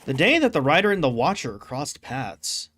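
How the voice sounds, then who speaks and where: A woman's voice: sits low, normal speed, noisy background. A woman streaming a game, reacting casually with jokes and slang. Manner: joking, excitable, slangy, talks back to the game. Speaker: gaming streamer. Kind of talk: casual gameplay commentary.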